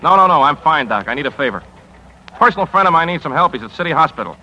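Brusque, commanding male editor, rapid-fire and piercingly sharp, vintage radio drama. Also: on the high side, animated, lo-fi sound, a little hiss or hum. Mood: urgent, persuasive. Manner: clipped, commanding, urgent. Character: hard-driving, brusque male newspaper editor. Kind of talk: 1950s radio drama acting. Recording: vintage 1950s radio broadcast recording.

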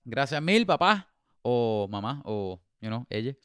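Very clear-voiced podcast host. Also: male voice, mid-range voice, very animated, unhurried, a bit bright, no room sound, clean studio sound. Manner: playful, exclamatory, laughs often. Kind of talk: casual podcast chat mixing Spanish and English.

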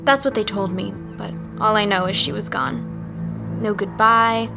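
A woman's voice: mid-range voice, lively intonation, unhurried, gravelly, music in the background. A woman playing a guarded, wounded teenage academy student in an audio drama, earnest and confessional. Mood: hurt, confiding. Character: guarded, wounded teenage academy girl recalling her lost friend.

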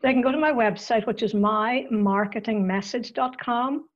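A woman from Northern Ireland with a softened Northern Irish accent, chatting as a podcast guest and often repeating words as she finds her thoughts. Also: a little high, normal speed, highs cut off. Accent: Northern Irish, softened by years in the US. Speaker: podcast guest, marketing coach. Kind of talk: casual interview chat.

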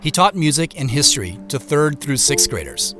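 An actor with an American accent playing a reflective man who narrates his road trip in a measured, literary audio drama style. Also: fairly high pitch, sing-song, over music. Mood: matter-of-fact storytelling.

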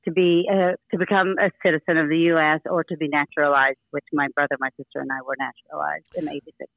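A woman's voice: low-pitched, level tone, quick pace, vocal fry, dry recording. A woman in her fifties, from the Philippines and native in Tagalog, telling personal stories as an interview guest in an earnest, reflective way. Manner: earnest, reflective, laughs often. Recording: tinny phone line, dry.

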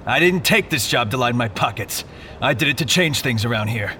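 A pushy, righteous street detective in a sci-fi radio play. His voice is sharp and very animated, always needling and challenging. A faint rumble of traffic runs behind him. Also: brisk. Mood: righteous, determined. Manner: confrontational, needling, self-righteous. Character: pushy, zealous male street cop, suspicious detective. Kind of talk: audio drama acting.

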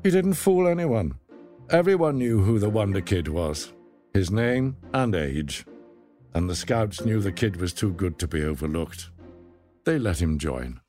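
A man reading a scripted documentary narration in a measured, dramatic storytelling manner. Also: on the low side, animated, over music.

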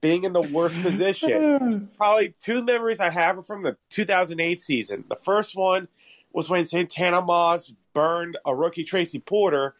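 A man's voice: high-pitched, animated, normal speed. A man on a sports talk panel, casually recalling games as a fan.

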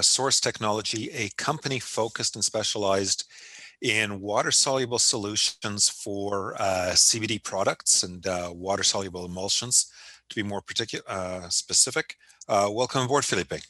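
A male podcast host with a bright, forceful tone and a fairly low voice. He talks casually, winding through long questions with plenty of fillers. The sound is dry and close. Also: moderate pace, no room sound. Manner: conversational, rambling questions with fillers. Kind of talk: casual interview.

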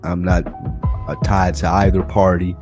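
Low-voiced man, podcast co-host. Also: flat delivery, a little slow, music in the background. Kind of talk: casual conversational podcast discussion.

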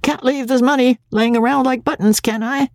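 A woman voicing an excitable old riverboat captain, a fussing father with folksy, rustic speech in a storybook reading. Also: a little high, measured pace, no room sound, clean studio sound, pitched above the performer's own voice. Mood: absent, muttering.

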